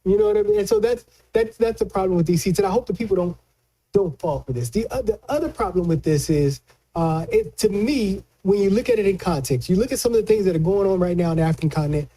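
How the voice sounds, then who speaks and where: A man giving conversational political commentary as a news analyst, colloquial and halting, often repeating words as he explains. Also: sits high, expressive, dark tone, rough recording.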